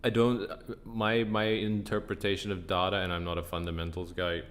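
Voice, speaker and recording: A man hosting a casual live trading stream, croaky and tired, answering chat questions in a relaxed way. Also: normal speed. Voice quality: croaky, deep from sinus trouble. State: tired, up a long time. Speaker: livestream trading host.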